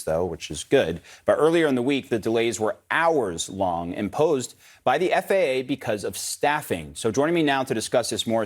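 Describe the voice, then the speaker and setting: A man anchoring a live TV news interview, putting questions to a guest in a conversational, slightly halting way. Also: fairly low pitch.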